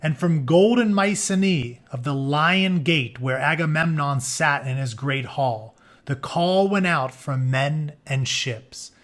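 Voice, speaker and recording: A man's voice: on the high side, a bit bright. A man teaching through a read-aloud, mixing narration with informal, conversational explanations as he goes. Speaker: teacher reading a book aloud.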